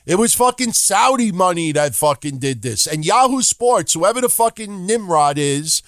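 A man's voice: high voice, moderate pace, dry recording, clean studio sound. A man co-hosting a podcast, ranting in casual chat and swearing often. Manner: profane, ranting, animated. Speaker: podcast co-host.

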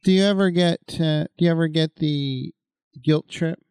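A man in his late fifties co-hosting a laid-back podcast. His voice sits fairly high with a gravelly fry, recorded close and dry. He rambles loosely, stacking fillers and asides as he thinks aloud. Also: fairly even intonation, moderate pace, a little mellow, no echo. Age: 50s. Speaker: podcast co-host. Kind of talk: casual podcast chat.

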